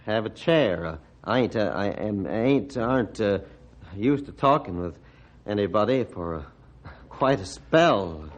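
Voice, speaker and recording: A man acting a bitter, gruff old hermit doctor in an old-time radio drama, with halting rustic speech and a defensive, threatening manner. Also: fairly low pitch, lively intonation. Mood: guarded, halting. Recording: muffled, band-limited vintage radio recording.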